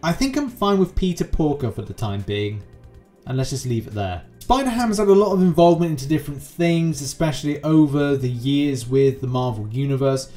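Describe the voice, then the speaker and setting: Higher-pitched young man, casual video host. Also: animated, a little fast, mellow. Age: young adult. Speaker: YouTube host. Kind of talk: casual scripted explainer with ad-libbed commentary. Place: small room at home with green screen. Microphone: close desk microphone. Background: background music.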